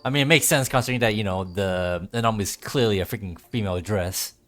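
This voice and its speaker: A man's voice: fairly low pitch, fast, fairly bright tone, soft background music. A man giving casual livestream commentary on a game, talking hesitantly with lots of filler words.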